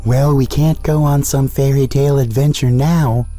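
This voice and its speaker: A man's voice: medium pitch, steady tone, normal speed, dark tone, faint music behind, lightly acted. A man voicing a wry, skeptical but loyal talking dog in a children's cartoon. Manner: wry, reluctant. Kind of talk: animated children's cartoon acting.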